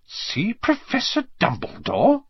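A stern older woman professor, acted by a man, speaking in a clipped, high and husky voice. She is brisk and commanding, like a storybook schoolmistress, with big swoops in pitch. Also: very animated, dry recording, lo-fi sound, heavily acted. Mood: suspicious.

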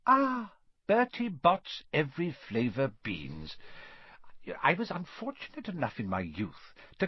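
A man's voice: a little high, slightly rough, dry recording, lo-fi sound, pitched above the performer's own voice, heavily acted. A man voicing a genial, elderly wizard headmaster in an audiobook, whimsical and dreamily self-amused. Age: older. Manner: dreamy, unhurried musing. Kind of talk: audiobook character voice.

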